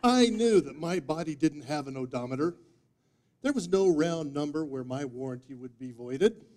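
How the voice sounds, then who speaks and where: A man in his fifties giving a humorous storytelling speech, with theatrical deadpan delivery and dramatic pauses. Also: a little high. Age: 50s. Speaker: speech contest speaker. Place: meeting room before a live audience, indoors. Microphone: distant audience camera microphone.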